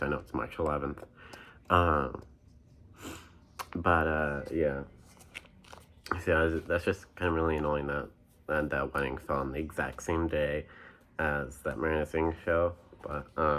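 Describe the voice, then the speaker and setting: Young man, very deep voice, stuffy nose, casual rambling vlog talk, lots of ums. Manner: rambling, many ums and restarts. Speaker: book collection vlogger. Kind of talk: casual chat.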